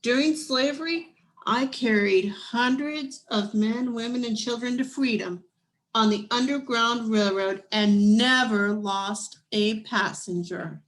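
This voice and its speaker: A woman voicing a caring, solemn woman guide in a storybook, with a soft, measured manner. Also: fairly high pitch. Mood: gentle, proud.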